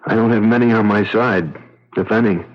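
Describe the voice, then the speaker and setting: An actor playing a blunt, agitated husband, a driven and haunted talent agent, in old-time radio drama style. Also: male voice, on the low side, fairly quick, close to an everyday voice. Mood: haunted, pleading. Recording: dull vintage radio recording.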